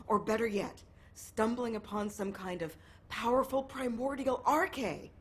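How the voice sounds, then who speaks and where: Game casting: an eager, playful female archaeologist. Higher voice, theatrical, teasing delivery. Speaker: relic excavation team member. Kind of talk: video game voice acting.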